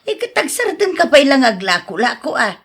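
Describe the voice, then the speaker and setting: A woman acting as an older Ilocano mother in a radio drama, high in pitch and husky. She frets over her grown daughter with chiding concern. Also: sing-song, moderate pace, a bit bright, full character voice. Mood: worried, chiding.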